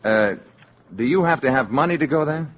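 An actor playing a calm, courteous alien posing as an ordinary man in a 1950s radio drama, speaking in a measured, assured way. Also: mid-range voice. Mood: polite, curious. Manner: polite, measured, quietly assured. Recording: dull, noisy 1950s radio recording.

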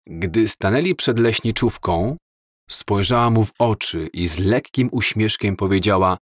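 Slow, low-voiced man reading a story. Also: no room sound, studio quality. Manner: slow, measured, calm reading. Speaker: audiobook narrator. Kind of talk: scripted storybook narration.

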